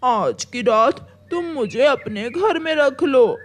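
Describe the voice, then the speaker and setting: A woman voicing a sly male crow villain in a cartoon story, with a drawn-out, pleading and scheming manner. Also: sits high, expressive, a little hiss or hum. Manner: drawn-out, repeats words. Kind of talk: animated children's story voice acting.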